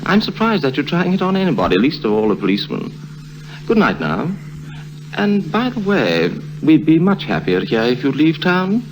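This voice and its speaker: Pompous police chief, swooping intonation. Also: male voice, a little high, wildly swooping pitch, moderate pace, over music. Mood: dry, superior. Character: pompous, drily amused male colonial police chief. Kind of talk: 1950s radio drama acting. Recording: noisy, humming vintage radio recording.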